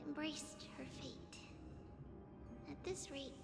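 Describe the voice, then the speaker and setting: An earnest, girlish fantasy-game heroine, tells of her world in a tiny, very high voice. Her words ring out in an echoing hall over music. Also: takes it slowly, vocal fry, heavily acted. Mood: solemn. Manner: earnest, storytelling. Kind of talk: fantasy video game voice acting. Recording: echoey hall reverb under game music.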